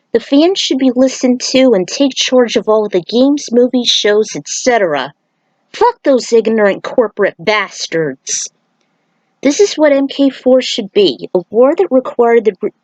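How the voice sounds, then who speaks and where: A woman's voice: on the high side, a little mellow, no echo, rough recording. Opinionated woman, dry close recording. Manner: opinionated, emphatic, swears. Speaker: fan fiction author and video narrator. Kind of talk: casual opinionated fan commentary.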